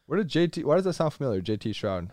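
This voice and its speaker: A man's voice: fairly high pitch, very animated, fast talker, a little mellow, dry recording, clean studio sound. A man in his twenties casually bantering as a podcast co-host, teasing and slangy. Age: 20s. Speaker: podcast co-host, college football player. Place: inside a truck.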